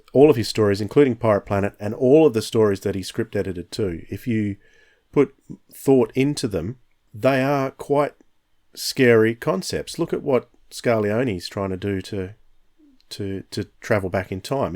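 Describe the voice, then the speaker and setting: A man's voice: on the low side, darkish tone. A man in his fifties hosting a podcast, talking in a reflective, enthusiastic way with frequent restarts. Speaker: podcast host. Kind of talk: casual podcast discussion.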